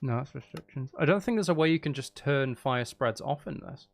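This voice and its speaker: A man's voice: medium pitch, expressive, fairly quick, creaky. A man chatting casually and in a rambling way as he hosts a livestream while gaming. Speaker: streamer, ASMR channel host.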